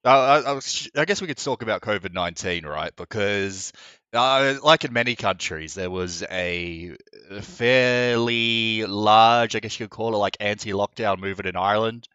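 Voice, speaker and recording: A man from New Zealand co-hosting a casual podcast chat, often restarting and rising in pitch when he gets worked up. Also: normal speed, pushed and bright, clear, resonant tone, dry recording, muffled recording. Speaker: podcast co-host.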